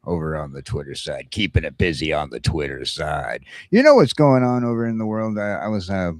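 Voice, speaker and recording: A man with a very low voice hosts a laid-back morning talk show. He speaks casually and haltingly, circling back to repeat his strong opinions. The sound is close and very dry. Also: expressive, no echo.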